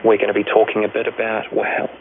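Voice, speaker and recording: A man's voice: on the low side, monotone, fast talker, slight background noise. A man with a New Zealand accent hosting a radio talk show in a chatty, informal, musing way. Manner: conversational, musing aloud with tag questions. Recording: thin narrow-band shortwave radio.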